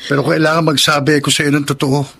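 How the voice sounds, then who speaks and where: A man's voice: on the high side, flat delivery, fast talker, gravelly, a bit bright, husky, heavily acted. An actor with a Filipino accent playing a young, frank and loyal male friend in a radio drama. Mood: earnest, apologetic. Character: young man, teasing but honest, aggrieved friend. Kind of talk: Tagalog radio drama acting.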